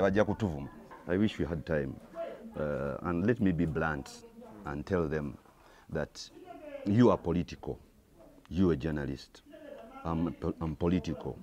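A Ugandan man in his forties speaks in a low, mellow voice, outdoors into a reporter's handheld mic. He lectures emphatically and pushes his points hard, rising into heated bursts. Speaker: theatre actor interviewed. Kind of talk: street interview, mixing Luganda and English. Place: outdoors by an orange door.

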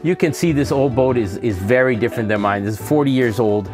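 A man's voice: medium pitch, fast. A man in his forties presenting a travel show on a fishing boat, explaining things to camera with a lapel mic. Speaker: show host visiting fishermen. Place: fishing boat and indoor seafood market. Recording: with background music.

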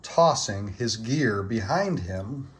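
A man reading a children's book aloud in an expressive, deliberate storytelling style. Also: on the low side.

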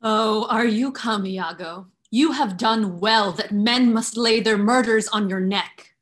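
A woman's voice: mid-range voice, slow pace, natural voice. A woman reading a grief-struck, furious woman servant in a play reading over a video call, accusing and crying out. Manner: accusing, cries out.

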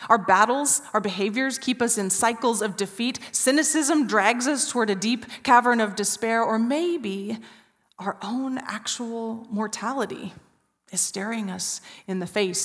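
A woman in her forties preaching an Easter sermon from a church stage, telling a story with hushed, drawn-out pauses. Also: mid-range voice. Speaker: pastor. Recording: reverberant church hall.

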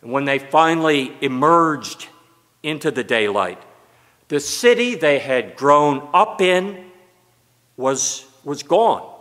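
Slow, bright-voiced older preacher in a church. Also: male voice, fairly high pitch. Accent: American. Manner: slow, expressive preaching with broad hand gestures. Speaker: pastor. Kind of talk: sermon. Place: church sanctuary, at a pulpit. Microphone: pulpit mic. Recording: long church reverb.

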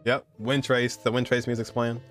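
A man's voice: mid-range voice, flat delivery, normal speed, a bit bright. A young man casually reacting live to game cutscenes, slangy and full of awed exclamations, with game music behind him. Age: young adult.

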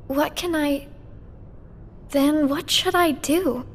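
A woman voicing a quiet, earnest teenage girl in a game cutscene, soft and gentle. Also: high voice, takes it slowly, a little hiss or hum. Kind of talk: video game cutscene acting.

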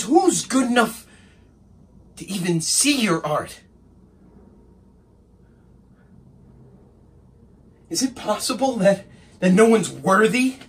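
A man performing a stage monologue as an angry, wounded young painter's assistant, bitterly sarcastic and repeating phrases for emphasis. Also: high-pitched. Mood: pained, challenging. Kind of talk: dramatic stage monologue acting.

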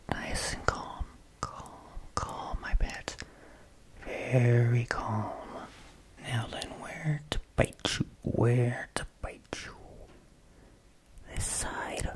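A woman playing a possessive, soothing vampire doctor in a breathy, hypnotic whispered ASMR roleplay. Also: a bass voice, heavily acted. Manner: slow, hypnotic, softly commanding. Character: possessive, soothing female vampire doctor turning a human into her thrall.

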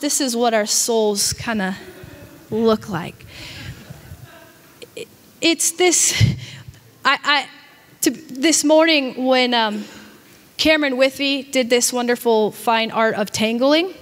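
A high-voiced woman in her thirties preaching a sermon into a handheld mic. She talks with animated emphasis and often stumbles and repeats words as she builds a point. Her voice carries a long reverb from the church hall around her. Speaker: pastor. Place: church stage with a small audience.

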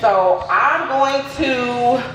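A woman's voice: fairly high pitch, lively intonation, extremely slow, recorded from a distance, music in the background. A young American woman chatting colloquially in a casual vlog, playfully chiding as she talks. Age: young adult. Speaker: vlog co-host and home cook. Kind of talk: casual vlog chat with bantering partner. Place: home kitchen, indoors. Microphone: camera or phone a few feet away. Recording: echoey, noisy kitchen, off the mic.

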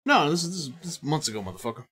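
A man's voice: a little high, slightly rough. A man in his thirties co-hosting a casual gaming podcast, swearing freely and jumping between asides. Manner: animated, profane, quick asides and impressions. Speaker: podcast co-host. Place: indoor studio set.

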